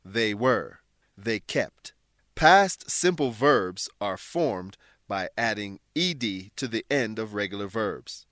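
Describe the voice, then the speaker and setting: A man's voice: on the low side, animated, a bit bright, dry recording. Man narrating an English lesson, dry studio. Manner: deliberate, instructional, clearly worded. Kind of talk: scripted educational narration.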